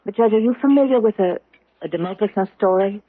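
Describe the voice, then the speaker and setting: Husky, insistent woman, played by an actress, old radio. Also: mid-range voice, lightly acted. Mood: grave, probing. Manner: deliberate, insistent, dramatic. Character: grave, accusing woman confronting a killer judge. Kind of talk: old-time radio drama acting. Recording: roomy, muffled old radio recording.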